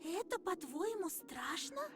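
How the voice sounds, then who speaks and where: A woman's voice: very high voice, unhurried, exaggerated voice. Teasing fox girl. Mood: playful. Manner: playful, teasing, lively. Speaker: game character. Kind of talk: game voice acting. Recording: game audio with clear music behind.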